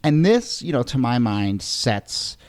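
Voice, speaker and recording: A man's voice: medium pitch, very animated, slow pace, dry recording. A man co-hosting a history podcast, guiding the talk and handing questions to his co-hosts, with many hedging "you know"s. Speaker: co-host and moderator. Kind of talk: conversational history podcast discussion.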